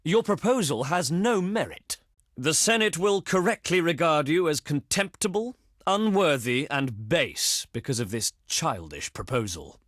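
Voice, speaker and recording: A man's voice: on the high side, animated, unhurried, fairly bright tone, no echo, studio quality. A man playing a proud, easily offended Roman envoy in a video game, with pompous, formal, oratorical delivery. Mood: indignant, scornful. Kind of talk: theatrical video game diplomacy voice lines.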